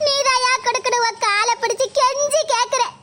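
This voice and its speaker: A woman's voice: very high-pitched, steady tone, normal speed. A woman with a playing a scheming middle-aged village stepmother who wails, pleads and cries in melodramatic bursts. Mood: pleading. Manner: loud wailing, pleading, crying melodrama. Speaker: skit actor. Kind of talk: melodramatic village comedy skit.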